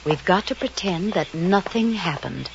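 An actress playing a headstrong, self-possessed maiden lady, firm and brisk, in an old radio drama. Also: fairly low pitch, animated, gravelly. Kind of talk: 1940s-50s radio drama acting. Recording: muffled, noisy old radio recording.

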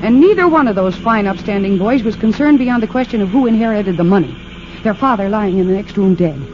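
Woman acting sharp lawyer lady in old radio mystery, assertive, persistent, muffled sound. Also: mid-range voice, a little fast, background noise, natural voice. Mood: troubled, insistent. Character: determined, quick-thinking woman defense lawyer. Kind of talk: 1940s radio drama acting.

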